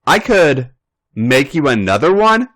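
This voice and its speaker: This is a friendly young woman hosting a girls' night, speaking gently with a hint of hesitation, voiced by a man who lifts his pitch. Her lines rise and fall with lively movement. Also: measured pace.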